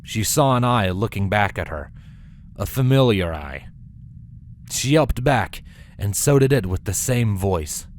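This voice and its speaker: A man narrating a scripted story with a dramatic, expressive delivery. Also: fairly low pitch, measured pace, a little hiss or hum.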